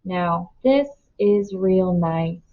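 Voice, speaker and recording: A woman's voice: lowish voice, lively intonation, drawn-out words. Woman reading as a folksy rural mother, muffled recording. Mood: contented. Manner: folksy rustic speech with dropped g's. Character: fond, firm rural mother presiding over breakfast.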